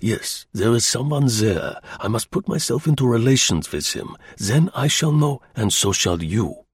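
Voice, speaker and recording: A man voicing a podgy, aggrieved agent in an audiobook, with an emphatic, slow, pleading delivery. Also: mid-range voice, expressive, husky, dry recording, clean studio sound. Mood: eager, scheming. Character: podgy, aggrieved, wheedling male German agent.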